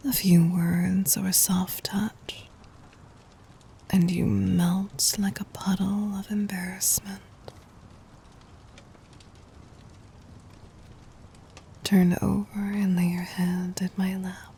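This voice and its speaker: A woman playing a gentle, doting demon queen in an ASMR roleplay, in a soft breathy whisper with tender, formal, intimate delivery. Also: medium pitch, flat delivery, slow pace, exaggerated voice. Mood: teasing, affectionate.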